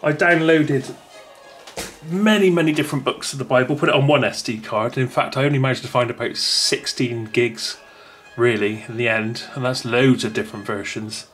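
A man's voice: mid-range voice, fairly even intonation, normal speed, fairly bright tone, soft background music. Chatty man reviewing a gadget. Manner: rambling, chatty, many restarts. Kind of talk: casual unboxing talk.

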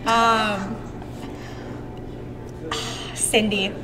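A young woman, a gym coach, chatting casually in an interview, animated and full of "like" fillers. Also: medium pitch, drawn-out words. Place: gym room, sitting on the floor.

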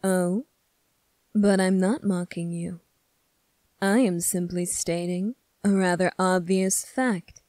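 A woman playing a smug, scornful male demon prince in an ASMR roleplay, speaking in a condescending, deliberate way. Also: fairly low pitch, fairly even intonation, warm tone, dry recording. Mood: smug, taunting.

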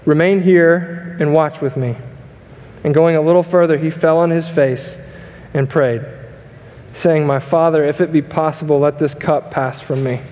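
A young man preaching from a church stage in an earnest, conversational way, full of fillers and restarts. His voice sits a little high. The sound carries the long reverb of a large hall. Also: steady tone, moderate pace, a little mellow. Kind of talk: sermon. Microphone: stage mic, wide camera.

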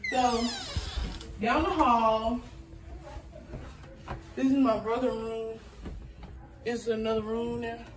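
A woman's voice: a little high, fairly even intonation, takes it slowly, pauses often, recorded from a distance, faint music behind. A young woman giving a casual house tour to viewers, chatty and often repeating her phrases, recorded on a handheld phone while walking indoors. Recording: roomy, noisy, voice off from mic.

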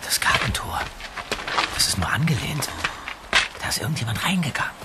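Actor as a lively, skeptical young detective. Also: male voice, mid-range voice, a little fast, very noisy, close to an everyday voice. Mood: hushed. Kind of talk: German audio drama acting.

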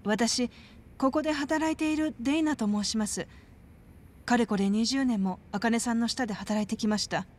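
An actress voicing a polite, composed middle-aged orphanage worker with a worried, formal way of speaking in a video game cutscene. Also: female voice, fairly high pitch, normal speed, darkish tone, lightly acted.

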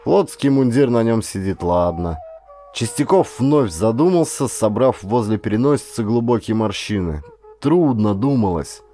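A man reading an adventure audiobook as dramatic scripted narration, in an expressive, theatrical storytelling manner. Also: on the low side, normal speed, soft background music.

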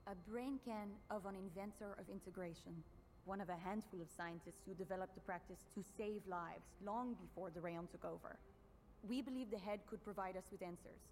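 A woman's voice: mid-range voice, fairly quick, a little mellow, a little hiss or hum, lightly acted. A woman playing a proud, stern special forces commander in a video game cutscene, clipped and authoritative. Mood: businesslike.

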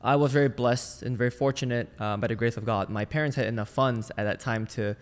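A man's voice: medium pitch, steady tone, fast talker. Man from Malaysia, long room reverb. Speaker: podcast guest, sales trainer and entrepreneur. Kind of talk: casual personal storytelling in an interview.

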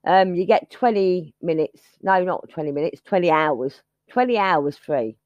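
A woman's voice: fairly low pitch, animated, a little slow, a little mellow, no echo, dull audio. Chatty, self-mocking woman vlogger. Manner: chatty, self-mocking, scattered, starts with sung lines. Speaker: YouTube channel host. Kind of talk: rambling casual chat to subscribers.

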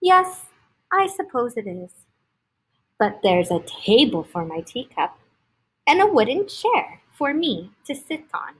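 Woman voicing a weary, husky little traveling creature. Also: on the high side, big pitch swings, measured pace, heavily acted. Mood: earnest. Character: weary, polite traveling stranger animal with suitcase.

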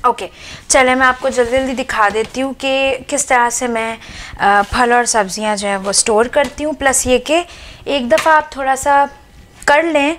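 A woman hosting a home cooking vlog, chatting casually as she shows how she organizes her fridge, slipping English words into her speech. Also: a little high. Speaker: cooking channel host. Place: home kitchen.

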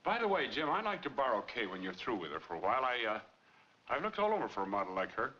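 A middle-aged American actor playing a smooth, attentive painter and husband in 1940s film style. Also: male voice, medium pitch, big pitch swings, fast talker, bright, forceful tone. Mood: breezy. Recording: noisy, dull 1940s film soundtrack.

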